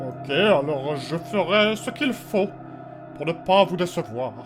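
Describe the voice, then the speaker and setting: An actor playing a hesitant, apologetic subordinate eager to justify himself in a fantasy audio drama. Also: male voice, on the high side, animated, a little slow, music in the background. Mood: servile.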